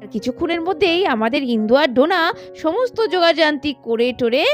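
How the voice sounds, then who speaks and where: A woman's voice: high-pitched, animated, fast talker. A woman narrating a scripted children's doll story.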